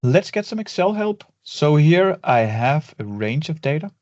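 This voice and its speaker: A man's voice: a little high, a little slow, no room sound. Guy walking through excel steps, dry close sound, a bit dull and muffled. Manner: relaxed, explaining step by step with fillers. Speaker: Excel tutorial instructor. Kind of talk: screen-recorded software tutorial. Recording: dry, slightly dull voice-over.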